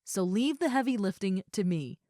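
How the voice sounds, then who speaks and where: A woman's voice: mid-range voice, lively intonation, moderate pace, warm tone, dry recording, studio quality, lightly acted. A woman playing a muscular female ogre roommate in a roleplay audio, friendly, easygoing and caring, with a casual, chatty way of talking and hesitant asides. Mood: playful, reassuring. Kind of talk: roleplay audio acting, addressed to the listener.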